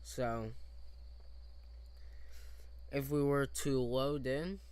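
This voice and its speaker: A man's voice: medium pitch, fairly even intonation, drawn-out words, darkish tone, clear, resonant tone, no echo. A young man from the US East Coast commentating casually on a game, hesitant with many fillers, his voice a little off from coughing. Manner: hesitant, lots of fillers and restarts. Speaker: game streamer.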